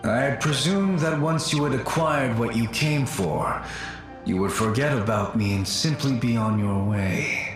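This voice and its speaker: A man's voice: medium pitch, measured pace, very echoey, music in the background, natural voice. A man voicing the ghost of an ancient male yaksha warrior in a video game, formal and sorrowful. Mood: solemn.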